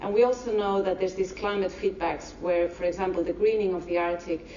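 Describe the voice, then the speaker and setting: A woman with a Spanish accent gives a public science lecture at a low pitch, taking her time over each point. She sounds distant and roomy, caught from across a lecture hall through a slightly dull mic with faint hiss. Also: fairly even intonation, warm tone. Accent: Spanish, from Barcelona. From Spain. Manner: deliberately slow, careful explanations. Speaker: marine ecology lecturer.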